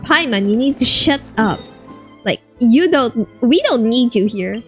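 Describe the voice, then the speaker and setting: Higher-voiced woman doing casual game reactions. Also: animated, unhurried, soft background music. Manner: informal, talks back to characters.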